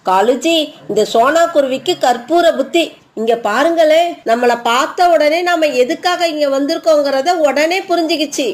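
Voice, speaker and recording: A woman's voice: high voice, moderate pace, natural voice. A woman voicing a haughty, greedy female crow in a children's cartoon, with a bossy, sneering manner. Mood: mocking.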